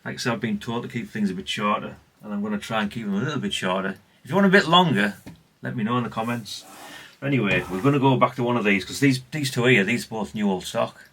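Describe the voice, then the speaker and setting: A man's voice: lowish voice, fast, fairly bright tone. A man, chatting casually and ramblingly as he presents old radio gear in a vlog. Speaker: vlogger showing vintage CB radios. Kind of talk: casual, unscripted chat.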